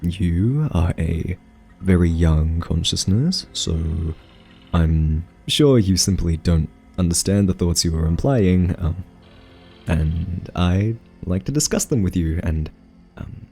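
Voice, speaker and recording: A man playing a quirky scientist in an ASMR roleplay, soft-spoken and hesitant, gently patronizing as he coaxes a captive AI. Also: sits low, lively intonation, creaky, soft background music, full character voice. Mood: cautiously persuasive. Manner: hesitant, halting, politely condescending.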